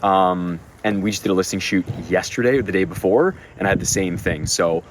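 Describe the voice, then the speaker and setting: A man with a slightly hoarse voice, a real estate agent talking quickly and energetically outdoors, switching between casual explanation and an upbeat sales pitch. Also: slight background noise. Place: outdoors at a house.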